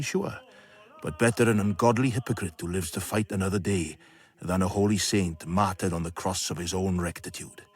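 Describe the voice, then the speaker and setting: An actor playing a wry, worldly narrator in a radio drama, with a husky voice and sardonic, rhetorical delivery. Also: male voice, deep voice, close to an everyday voice. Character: wry, worldly, philosophising male storyteller.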